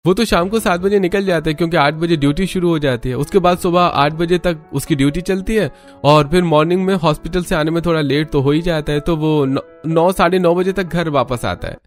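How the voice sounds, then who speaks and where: A man's voice: on the high side, brisk, soft background music, close to an everyday voice. A man voicing the agreeable adult son of a thrifty family in a cartoon story, explaining things conversationally. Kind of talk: cartoon story character dialogue.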